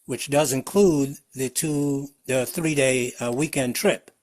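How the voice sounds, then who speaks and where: A man calling in with a question on a business call, halting and stumbling over the starts of words. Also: medium pitch, fairly even intonation, darkish tone. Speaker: caller asking a question.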